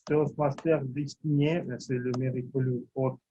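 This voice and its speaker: A man hosting a speaking club meeting, introducing speakers in halting speech full of fillers. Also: mid-range voice, level tone, dark tone, highs cut off, slight background noise. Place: meeting in front of an audience.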